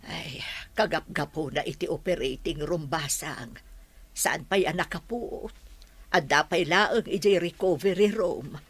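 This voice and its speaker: A woman's voice: sits low, a little slow, breathy edge, faint music behind, full character voice. An actress with an Ilocano accent playing an older, concerned mother figure giving advice in a radio drama. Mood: concerned, advising.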